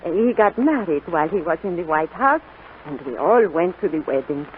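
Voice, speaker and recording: Woman acting a gentle old grandmother, tinny vintage radio. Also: on the low side, lively intonation, normal speed, lightly acted. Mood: warm, reminiscing. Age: older. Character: frail, devout, kindly old French grandmother of seventy-seven. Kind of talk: 1940s radio drama acting. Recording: noisy, tinny 1940s radio broadcast.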